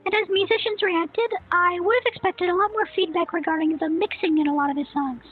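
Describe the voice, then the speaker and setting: A woman's voice: extremely high pitch, fairly even intonation, fairly quick. A woman voicing a smug young boy savant who hosts a snarky scripted commentary rant. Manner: smug, snarky, self-assured. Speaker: commentary channel host. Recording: tinny phone-like sound, faint music.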